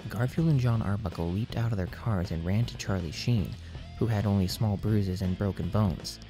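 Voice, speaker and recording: A man's voice: lowish voice, moderate pace, over music. A man narrating absurd fan fiction as a scripted audiobook reading. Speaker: audiobook reader.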